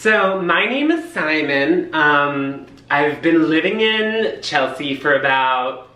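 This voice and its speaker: A man's voice: fairly high pitch, animated, slow, sharp tone, pitched below the performer's own voice, exaggerated voice. Man doing an effeminate, gushing guy, roomy room. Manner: chatty, peppered with "like". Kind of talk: comic character monologue. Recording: roomy kitchen, distant camera mic.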